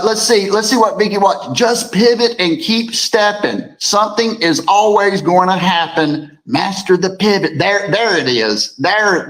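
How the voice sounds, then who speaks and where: Male co-host, deep voice, rambling conversational delivery, reads comments aloud. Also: a bit bright, rough recording. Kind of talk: casual morning show chat.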